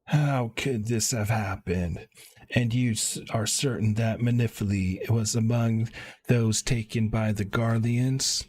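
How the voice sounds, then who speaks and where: A man voicing a kindly, formal fatherly caretaker from a fantasy game, with halting, old-fashioned phrasing. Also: fairly low pitch, a little mellow, breathy edge. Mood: grave, kindly.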